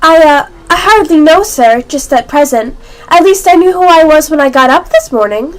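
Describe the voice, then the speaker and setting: A very high, girlish voice from a young female actor plays a polite little girl in an amateur radio play. She is earnest and bewildered by everything around her. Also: animated, moderate pace, poor audio.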